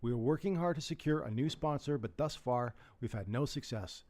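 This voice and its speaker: A man's voice: mid-range voice, brisk, a little mellow, close to an everyday voice. Man playing a subdued radio staffer. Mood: subdued, formal. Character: downbeat radio station staffer Artie, dry, awkward. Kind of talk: radio drama, reading a letter aloud.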